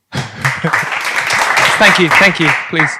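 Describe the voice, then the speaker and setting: A man's voice: on the high side, extremely slow, a loud crowd. A British man, a comedian guesting on a podcast and bantering casually, on a noisy recording. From born in France.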